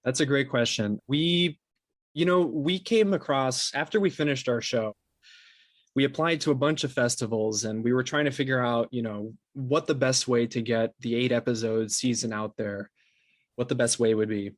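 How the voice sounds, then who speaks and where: A man being interviewed as a podcast producer, explaining things conversationally in a halting way with many restarts. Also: medium pitch, no room sound, clean studio sound.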